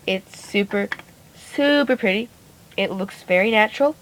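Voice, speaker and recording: Brief: woman with a raspy voice, casual and rambly beauty vlogger, phone mic. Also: mid-range voice, measured pace, slight background noise, poor audio. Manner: chatty, rambling, self-correcting. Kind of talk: casual makeup tutorial narration. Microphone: phone camera.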